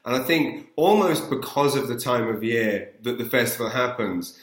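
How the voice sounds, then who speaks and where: A man's voice: medium pitch, expressive, moderate pace. A British man interviewing in a casual chat, effusive and often stammering over repeated words. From England. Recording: roomy, echoey sound.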